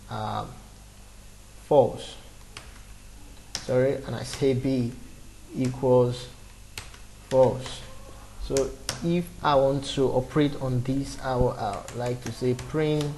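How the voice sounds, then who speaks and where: A man's voice: medium pitch, fairly even intonation, slow pace, vocal fry, long pauses, dark tone, slight background noise, poor audio. A man in his twenties teaching a beginner programming lesson off the cuff, talking through each step with fillers and restarts. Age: 20s. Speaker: programming tutor. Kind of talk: screen-recorded coding lesson.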